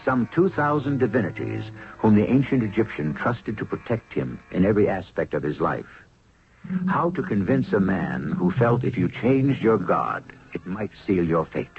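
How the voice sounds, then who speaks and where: A man with a gravelly voice, solemnly narrating a scripted radio drama in a theatrical storytelling way. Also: on the low side, very animated, normal speed. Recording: muffled old radio recording, music behind.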